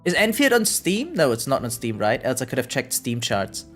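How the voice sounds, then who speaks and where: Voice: mid-range voice, huge pitch swings, moderate pace. A game streamer chatting casually and thinking aloud in a rambling way, often checking in with a questioning tag. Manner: rambling, repeatedly tags sentences with "right?". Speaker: variety game live streamer. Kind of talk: casual live stream chat, thinking aloud while gaming. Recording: music playing behind the voice. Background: background music.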